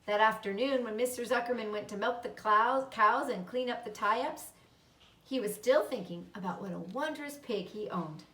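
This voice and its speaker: Female storybook narrator, higher-pitched voice, read-aloud delivery, roomy recording. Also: normal speed, a bit bright. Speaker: storybook reader. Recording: roomy echo, slightly distant mic.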